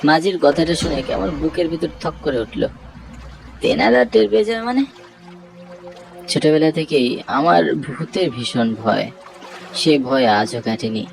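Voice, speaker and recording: A man's voice: fairly high pitch, lively intonation, moderate pace, gravelly, pauses often, slight background noise, rough recording. Man acting a timid young man, fearful storyteller. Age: young adult. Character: timid, anxious young city man afraid of ghosts. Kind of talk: first-person dramatic horror story narration.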